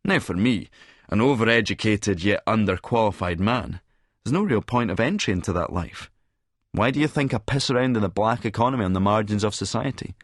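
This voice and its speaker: A man's voice: lowish voice, expressive, brisk, no room sound, studio quality. A man playing a cynical middle-aged Scottish schemer who narrates in a verbose, sardonic, lecturing manner. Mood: scornful, sardonic. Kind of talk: first-person audiobook narration with dialogue.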